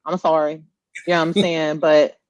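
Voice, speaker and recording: A woman's voice: low-pitched, little pitch movement, normal speed, a little mellow, dry recording, poor audio. A woman co-hosting a podcast in casual, slangy banter, teasing and emphatic, repeating herself for effect. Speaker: podcast co-host.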